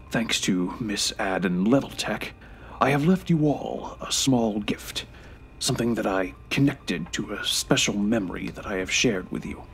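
Male actor as weary, defiant man in his nineties near death; halting, deliberate, often near-whispered delivery, audio drama over music. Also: lowish voice. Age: 90s as played. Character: dying 93-year-old man, defiant, weary grandfather.

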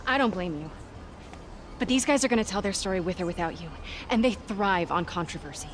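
A woman's voice: mid-range voice, animated, brisk, natural voice. An actress playing a determined young female reporter with an American accent in a video game, assertive and direct. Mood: persuasive. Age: young adult. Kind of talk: video game cutscene acting. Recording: noisy city street ambience, vehicles.

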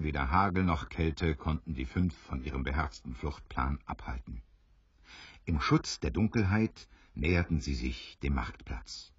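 A man narrating a dramatised story in a theatrical, deliberate way with a wry touch. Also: very deep voice, slightly rough, no echo, full character voice. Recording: dry, slightly dull studio recording.

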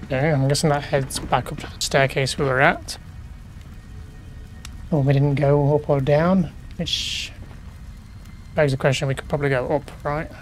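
A man's voice: fairly high pitch, steady tone, normal speed, long pauses, soft background music. A man casually commenting as he plays a horror game, muttering questions to himself as he explores. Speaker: gaming YouTuber. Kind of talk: casual let's play commentary.

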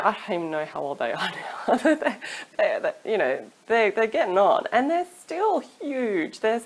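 A woman in her thirties, a singing teacher giving chatty, encouraging advice to camera from a small room at home, laughing now and then. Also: medium pitch. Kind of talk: casual advice vlog to camera. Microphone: studio mic off camera.

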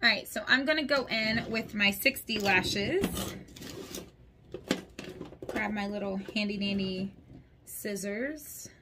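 A woman's voice: medium pitch, slow, long pauses, noisy background. A woman in her thirties, a little congested, chatting and giggling casually as she does a makeup tutorial at home, close to the camera. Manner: chatty, giggly, talks while applying makeup. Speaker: beauty vlogger. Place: small room at home, indoors. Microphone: camera close in front.

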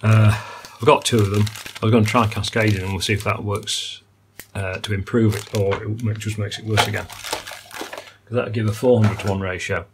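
An older man talks through a repair at his workbench. His voice sits low and has a gravelly edge. He muses in technical terms and fills pauses with ums and uhs, over a faint background hiss. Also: fairly even intonation. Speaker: electronics repair hobbyist. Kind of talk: hands-on repair walkthrough. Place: workbench indoors.

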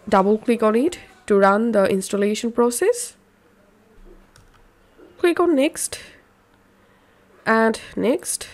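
A woman presents a step-by-step software guide. She takes it slowly and keeps to an instructional, scripted delivery with simple phrasing. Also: mid-range voice. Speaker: tutorial presenter.

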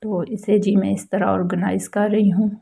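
A woman's voice: medium pitch, flat delivery, moderate pace, vocal fry, mellow, breathy edge. A woman with a Pakistani accent giving a casual how-to voice-over as a home vlogger, explaining things politely and repetitively.

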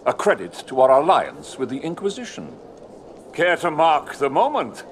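Fussy courtier lord, haughty, theatrical, hugely animated pitch, video game drama, noisy ambience behind. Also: male voice, moderate pace. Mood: haughty, prying. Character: pompous, fussy noble lord, a gossiping courtier.

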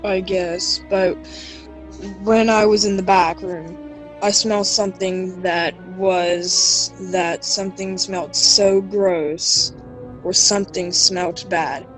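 An amateur actor playing an anxious, accusing restaurant worker in a horror audio drama, with halting, stilted delivery. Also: medium pitch, steady tone, a bit bright, soft background music, poor audio. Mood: anxious, questioning.

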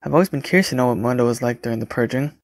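A man's voice: mid-range voice, rapid-fire, dry recording, close to an everyday voice. A man playing a courteous, reserved, caring young mortician in an audio drama. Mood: reflective. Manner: courteous, understated.

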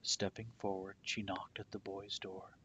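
A man reading a children's picture book aloud as storyteller, with deliberate, dramatic phrasing that softens at the ends of phrases. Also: low-pitched, flat delivery, slightly rough.

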